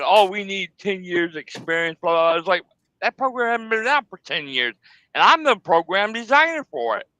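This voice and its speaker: Male guest, bright, clear voice, blunt and insistent. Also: fairly high pitch, no room sound, low-quality recording. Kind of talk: casual chat.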